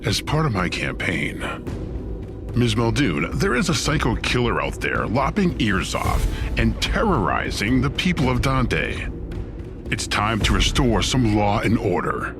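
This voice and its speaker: Wry devil boss, low-pitched and highly animated, theatrical wordplay, audio drama villain. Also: male voice, unhurried, music in the background. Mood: businesslike, wry.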